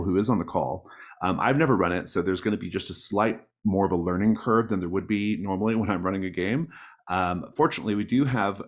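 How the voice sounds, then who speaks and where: A man hosting an online tabletop game session as game master, introducing it casually. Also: low-pitched. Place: online group call.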